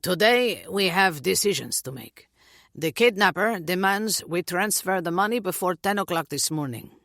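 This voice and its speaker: A woman's voice: fairly low pitch, animated, a bit bright, dry recording, studio quality. A woman voicing a cold, arrogant police captain with a throaty voice in an audiobook. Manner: slow, measured, cold.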